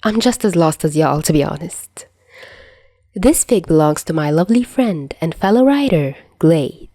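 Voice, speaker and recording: A woman reads fan fiction as a narrator. Her voice sits on the low side for a woman, and the recording is dry with little room sound. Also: animated, normal speed, dark tone, no echo. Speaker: podfic narrator.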